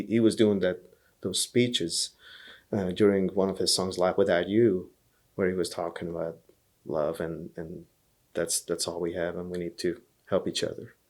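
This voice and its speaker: Man, Hungarian accent, very low voice, earnest, halting repeats, podcast guest. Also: a little mellow. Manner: earnest, repeats words as he gathers thoughts. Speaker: podcast guest, blues musician. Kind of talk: relaxed interview storytelling.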